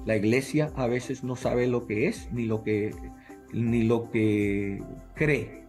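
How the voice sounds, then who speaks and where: A man's voice: mid-range voice, darkish tone. Middle-aged guy, english is his first language, earnest and emphatic, faint music behind. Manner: earnest, emphatic, lists points with repetition. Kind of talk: interview answers.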